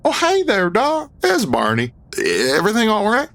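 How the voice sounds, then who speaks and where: A woman's voice: mid-range voice, wildly swooping pitch, normal speed. An actor voicing a cheerful, dim rural hillbilly man with a folksy drawl in a comedy audio drama. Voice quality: folksy drawl, ungrammatical country talk.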